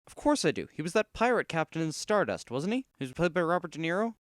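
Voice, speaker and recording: A man acts a clueless young male superhero leader, indignant and whiny. His pitch swings widely, and the sound is dry and close, in the manner of a cartoon parody dub. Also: big pitch swings, fast talker, no echo.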